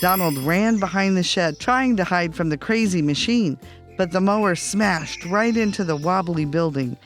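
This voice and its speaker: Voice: mid-range voice, normal speed, faint music behind. A storyteller reading a scripted children's bedtime story.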